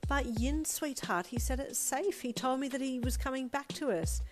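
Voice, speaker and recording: A woman's voice: mid-range voice, a little slow, a little mellow, faint music behind, natural voice. A woman voicing a sweet, fragile middle-aged mother in a dramatized audio story, with tender, pleading, sing-song speech. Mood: euphoric, hopeful.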